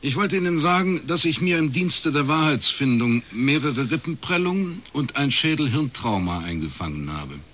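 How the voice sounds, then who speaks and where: An actor playing a laconic private detective in a radio crime drama, with a husky voice and a measured, dry way of telling things. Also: male voice, medium pitch, expressive, bright, forceful tone. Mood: matter-of-fact, pressing. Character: laconic male East Berlin academic turned private detective.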